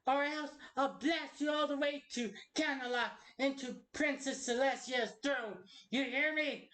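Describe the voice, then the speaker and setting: A woman's voice: high-pitched, measured pace, fairly bright tone, voice pushed up. A woman voicing a cruel, scornful unicorn parent who berates a child with harsh contempt in an amateur cartoon style. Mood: threatening. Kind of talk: amateur cartoon villain voice acting.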